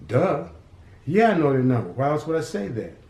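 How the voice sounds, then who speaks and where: A man's voice: a little high, sing-song, normal speed, creaky, a little mellow. A man voicing a cocky, defiant city teenager who talks back to gangsters. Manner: mouthy, defiant, smart-alecky. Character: cocky streetwise teen boy from the city. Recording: roomy echo.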